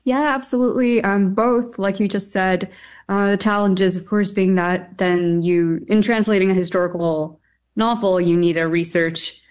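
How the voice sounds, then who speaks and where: A woman's voice: medium pitch, steady tone, moderate pace, darkish tone, smooth. A woman from Taiwan talking conversationally as a literary translator being interviewed.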